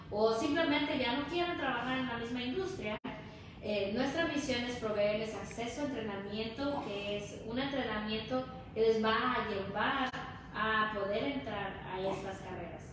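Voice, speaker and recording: A woman's voice: fairly high pitch, moderate pace. Mexican woman, distant in an echoey room. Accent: Mexican Spanish. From Hidalgo, Mexico. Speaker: interview guest. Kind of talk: conversational explaining. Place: indoor lounge nook with brick wall and concrete floor. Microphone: distant camera or phone. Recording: echoey, noisy room, off-mic.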